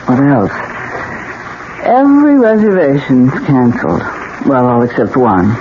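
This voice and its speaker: An actress in a 1970s radio drama playing a troubled, resigned wife with a terse way of talking. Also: female voice, very deep voice, sing-song, a little slow, heavily acted. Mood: resigned, worried. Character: troubled wife whose ski resort investment is failing. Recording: noisy, muffled 1970s radio broadcast recording.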